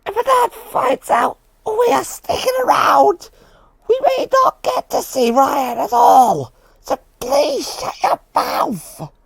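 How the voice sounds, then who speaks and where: A woman's voice: high voice, very animated, slow pace, vocal fry, no room sound, voice pushed up, heavily acted. A woman voicing an eager, anxious, childlike animatronic bear in an amateur comic dub, earnest and pleading. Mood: anxious, urgent.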